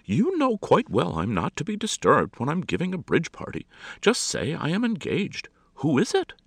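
A male narrator plays a grand society hostess from a storybook, forever exasperated. Her voice leaps high and swoops wildly, imperious and shrieking with impatience. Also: wildly swooping pitch, a little slow, vocal fry, fairly bright tone, husky, no echo, exaggerated voice. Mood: haughty, irritated.

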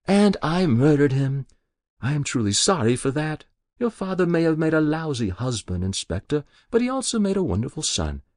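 A man's voice: fairly high pitch, sing-song, moderate pace, no echo, clean studio sound, voice pushed up. A man voicing an elderly confessing woman. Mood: pained. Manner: quiet, pained, confessional.

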